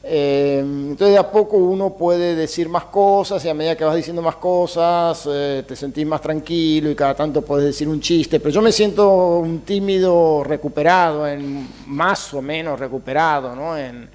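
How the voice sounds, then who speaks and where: Soft-chuckling Argentine man, higher voice. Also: fairly even intonation, moderate pace, hardly any pauses. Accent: Argentine Spanish. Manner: hesitant, many fillers, soft chuckles. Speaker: interview guest, writer and mathematics teacher. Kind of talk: reflective interview answers.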